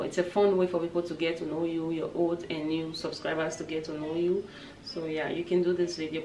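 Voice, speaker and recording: A woman, chatting informally to her viewers as a lifestyle vlogger, recorded indoors on a distant mic. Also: fairly low pitch. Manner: informal, addresses viewers as guys. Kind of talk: casual chat to camera, answering tag questions. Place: indoors, small set with wooden backdrop. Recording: roomy, distant mic, background noise.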